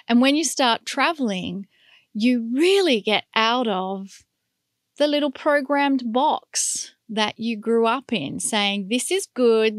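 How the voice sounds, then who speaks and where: A woman's voice: on the high side, no room sound. Earnest woman guest, dry close sound. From born to Hungarian parents. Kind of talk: casual interview talk.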